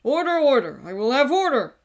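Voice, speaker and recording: A woman's voice: sits high, very animated, brisk, over music, pitched above the performer's own voice. A woman voicing a stern, easily startled old male judge in a courtroom video game.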